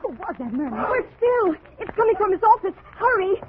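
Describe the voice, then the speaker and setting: A woman's voice: very high voice, sing-song, fairly quick. A woman playing an anxious, pleading plantation resident in an urgent 1940s radio melodrama, on a tinny, noisy old recording. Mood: alarmed. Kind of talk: 1940s radio drama acting.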